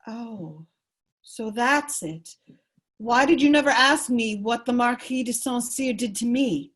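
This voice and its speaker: A husky, fairly high-voiced wife acted by a woman in a radio drama. She is proud but anguished, speaking with earnest, wounded appeal. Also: takes it slowly. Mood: hurt, indignant. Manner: earnest, hurt, pleading. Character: anguished young wife, proud, pleading for her brother. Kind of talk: radio play table read.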